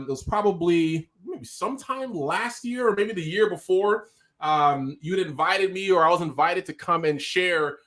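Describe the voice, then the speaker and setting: A man with a Nigerian accent hosting a live online talk show, energetic and hyped, over a video call mic. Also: on the high side. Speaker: host.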